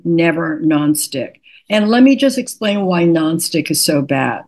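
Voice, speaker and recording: An older woman, a cookbook author, patiently explaining cooking tips in an interview, her voice slightly raspy today. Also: low voice. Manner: patient, instructive, methodical.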